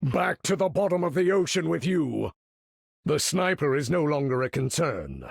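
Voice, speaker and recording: A man's voice: on the high side, sing-song, normal speed, vocal fry, dry recording, studio quality, exaggerated voice. A man voicing a proud, imperious older mutant master of magnetism in a video game, speaking in a grandiose, formal, commanding way. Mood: disdainful, proud. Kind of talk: theatrical game character voice lines.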